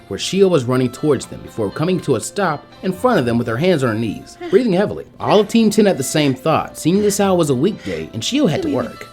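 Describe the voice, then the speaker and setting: A man reading fan fiction aloud as scripted story narration. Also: mid-range voice.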